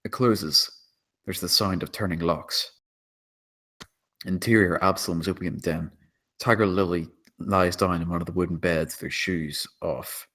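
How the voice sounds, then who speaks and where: Low-voiced man narrating a screenplay. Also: fairly even intonation, a little slow, a bit bright. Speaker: stage directions reader.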